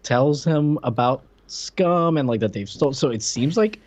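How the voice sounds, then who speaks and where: Male podcast co-host, animated and sarcastic, casual chatty delivery, close dry sound with slightly muffled fidelity. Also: medium pitch, moderate pace, no room sound. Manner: sarcastic, incredulous, swearing. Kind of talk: casual chat.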